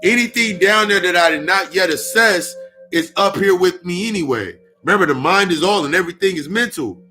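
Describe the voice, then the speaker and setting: A man in his mid-thirties talks through a loose spiritual monologue in a slightly high, bright and forceful voice. He swears freely and circles back over his own phrases to hammer them home. Faint music with ringing bowl tones sits behind him. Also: a little fast. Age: 30s. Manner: profane, repetitive, emphatic. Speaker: spiritual speaker.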